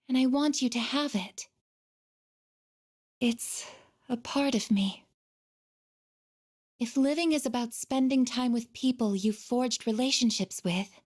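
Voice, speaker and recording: A woman voicing a gentle, earnest female android in a video game, speaking softly and hesitantly with shy devotion. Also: a little high. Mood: resolute, devoted. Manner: soft, hesitant, deliberate, tender. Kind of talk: video game voice acting, emotional dialogue.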